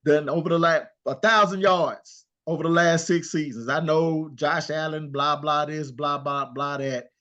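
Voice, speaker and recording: An older man co-hosting a casual sports talk show, animated and trash-talking as he rattles off stats. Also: fairly high pitch, measured pace, few pauses, muffled recording. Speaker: co-host.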